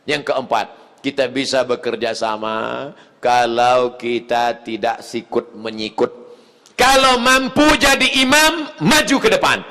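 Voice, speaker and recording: A male preacher giving a mosque sermon, swinging from quiet asides to loud shouted appeals. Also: mid-range voice, unhurried, long reverb tail.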